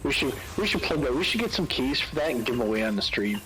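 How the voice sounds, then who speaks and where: A man's voice: mid-range voice, very animated, fast talker, low-quality recording. A man joking and swearing during casual gaming chat on a stream. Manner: joking, profane banter. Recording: music clearly under voice.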